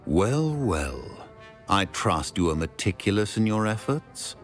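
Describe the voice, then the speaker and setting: A man playing a stern, exacting middle-aged professor in a game cutscene, measured and dry. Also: lowish voice, big pitch swings, faint music behind.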